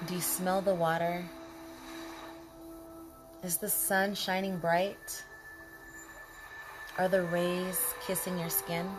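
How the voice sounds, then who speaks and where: A woman leading a guided body scan meditation in a calm, soothing manner, speaking in short, unhurried phrases with pauses. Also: fairly low pitch, poor audio. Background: music behind the voice.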